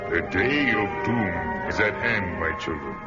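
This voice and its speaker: An actor playing a hypnotic, menacing cult leader and sorcerer, smooth and commanding. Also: male voice, low-pitched, expressive, measured pace. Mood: preaching. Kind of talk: radio drama acting. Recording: dull lo-fi audio with loud music.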